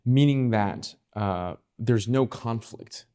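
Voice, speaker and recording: A man's voice: medium pitch, slow pace, warm tone, smooth. A young man interviewing a guest, casual, dropping short affirmations and follow-up questions. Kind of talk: interview conversation.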